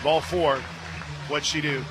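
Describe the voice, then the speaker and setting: A man doing live radio play-by-play of a softball game, mixing commentary with casual banter from a booth at the ballpark. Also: a little high, slow pace, noisy background. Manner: bursts into excited shouts on big plays. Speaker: play-by-play sports radio announcer.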